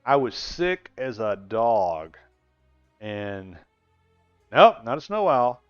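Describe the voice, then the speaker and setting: A man's voice: lowish voice, big pitch swings, slow, clear, resonant tone, no room sound, soft background music. A man in his sixties chatting casually on a gaming live stream, rambling and laughing often as he answers viewers. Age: 60s. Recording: dull lo-fi stream audio.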